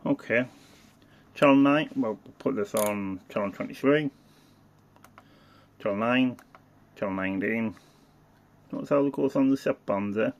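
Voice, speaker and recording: A man giving a casual, unscripted product demonstration as a hobbyist reviewer, halting and thinking aloud as he goes. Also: fairly low pitch.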